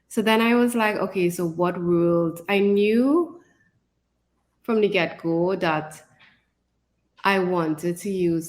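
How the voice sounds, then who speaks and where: Low-pitched woman chatting. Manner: conversational, often adds "right?" as a tag. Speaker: podcast co-host and author. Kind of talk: casual podcast chat.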